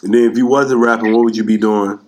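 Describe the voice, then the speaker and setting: A man's voice: on the low side, fairly even intonation, fast talker. A man hosting a casual hip-hop podcast interview, talking in an informal, slangy way. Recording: clear background noise, clicks.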